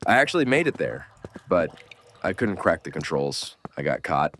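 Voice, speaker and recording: A man playing a gruff, blunt, world-weary survivor mentoring a kid in a horror audio drama. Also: sits low, very animated, normal speed, a bit bright, slight background noise. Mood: wry, explaining. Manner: blunt, scolding, urgent.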